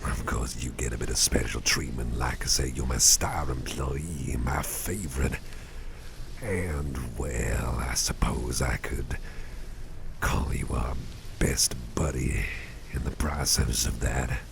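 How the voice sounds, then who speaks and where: A man performing a gentle ASMR roleplay as a caring boss, half-whispering in a soothing, folksy, unhurried way with a breathy voice. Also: background noise. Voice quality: breathy, very low gravelly voice with soft whispering. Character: caring, doting male boss soothing a sleepy employee.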